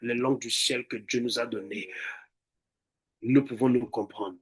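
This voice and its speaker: A man's voice: mid-range voice, fairly even intonation, a little slow, breathy edge. A man preaching and leading prayer as a pastor would, emphatic and repetitive in his exhortation and chanted prayer. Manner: emphatic, repeats words and phrases.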